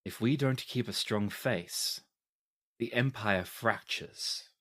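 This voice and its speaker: A game master playing a cold, imperious empress with formal, grandiose and measured phrasing. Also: mid-range voice. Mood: cold, resolute. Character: imperious, cold empress, guarded mother-in-law, faintly manic.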